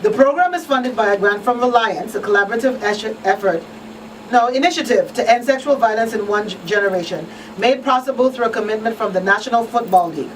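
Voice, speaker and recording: A woman's voice: fairly high pitch, fast talker. A woman hosting a live talk show in a conversational style. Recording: distant mic with background noise.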